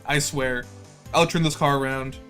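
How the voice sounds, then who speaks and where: A man's voice: mid-range voice, fairly even intonation, normal speed, a bit bright, soft background music. A man livestreaming with slangy, playful, rambling casual chat to his viewers. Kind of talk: casual livestream chat, reading visual novel aloud.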